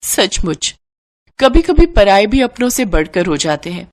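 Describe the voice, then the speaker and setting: A woman's voice: mid-range voice, very animated, measured pace. A woman narrating a scripted moral story.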